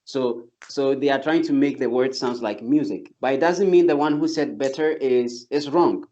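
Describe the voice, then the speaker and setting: A man's voice: mid-range voice, moderate pace, mellow. Earnest man teacher, slightly dull audio. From not from Turkey. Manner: earnest, explains step by step with many restarts. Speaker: English teacher. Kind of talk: casual explanatory talk.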